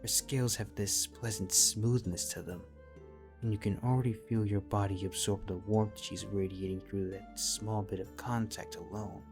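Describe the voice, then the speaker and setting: A man's voice: lowish voice, steady tone, normal speed. A man reading scripted fan fiction as a story narrator. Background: music.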